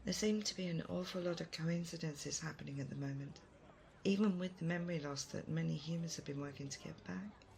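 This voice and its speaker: A woman playing a thoughtful, kind, self-doubting leader in an audio roleplay, soft-spoken, hesitant and gently earnest. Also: low voice, creaky, a little hiss or hum. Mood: wistful, sincere. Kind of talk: immersive audio roleplay addressed to the listener.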